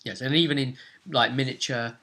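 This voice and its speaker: A man's voice: mid-range voice, fairly even intonation, a little fast, sharp tone. A man casually recapping a tabletop role-playing session as game master, chatty and often ending on tag questions.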